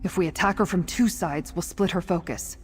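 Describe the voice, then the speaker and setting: A bold woman warrior from a fantasy video game, speaks bluntly in a lowish voice. She is commanding and wry, a born tactician. Her words ring with long reverb over music. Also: fairly quick, slightly rough. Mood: grim, determined. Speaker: companion character in a fantasy game. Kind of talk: acted fantasy game dialogue.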